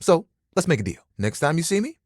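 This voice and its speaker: Casting: eager, self-conscious towel character for a dating game. Very animated pitch swings, slightly husky, casual rambling delivery. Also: male voice, a little fast, no room sound, studio quality. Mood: earnest, awkward. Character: talking bath towel, bashful, eager, laid-back young guy. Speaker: video game voice actor.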